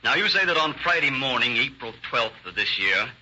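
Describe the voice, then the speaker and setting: Very sharp, forceful prosecutor. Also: male voice, mid-range voice, animated, fairly quick, breathy edge, heavily acted. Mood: formal, probing. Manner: formal, accusatory. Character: stern, pressing prosecutor cross-examining a witness. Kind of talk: 1940s radio acting. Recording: muffled, hissy old radio recording.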